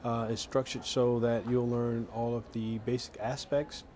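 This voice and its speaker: A man giving an informal interview testimonial as an alumnus, halting and adding wry asides. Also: medium pitch, level tone, darkish tone, slight background noise. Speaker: program alumnus.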